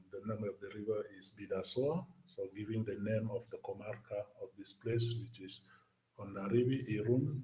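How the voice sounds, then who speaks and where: Male host, lowish voice, hesitant, far from the mic in an echoey space. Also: mellow. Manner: hesitant, many fillers. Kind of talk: live interview. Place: outdoors near a bay.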